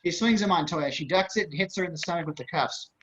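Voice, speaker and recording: Bright, higher-voiced man narrating a script. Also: quick pace. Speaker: stage-direction narrator. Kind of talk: scripted narration, table read. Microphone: computer on a video call. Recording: dull, lo-fi video-call audio.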